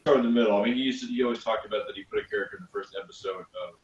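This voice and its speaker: A man's voice: fairly low pitch, very fast, lo-fi sound. A man chatting casually as a podcast co-host. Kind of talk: casual chat. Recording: roomy, distant from microphone.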